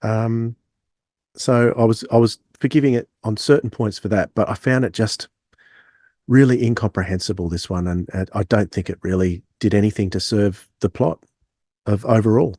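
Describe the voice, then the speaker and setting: A man's voice: on the low side, moderate pace, darkish tone, no echo, studio quality. A man hosting a podcast, talking casually as he reviews. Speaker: podcast host.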